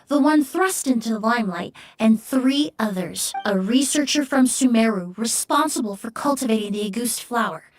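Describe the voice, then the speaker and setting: A woman's voice: fairly high pitch, natural voice. A woman playing a polite, composed, analytical young perfumer in a video game, speaking formally. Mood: accusing, composed. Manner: formal, measured, courteous.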